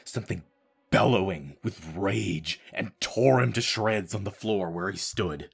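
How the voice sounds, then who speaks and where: A man playing a haunted janitor from a space base, recounting horrors in a brooding, theatrical way with deliberate pauses. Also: deep voice, gravelly, slightly rough, full character voice. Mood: ominous. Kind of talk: dramatic scripted audio-drama narration.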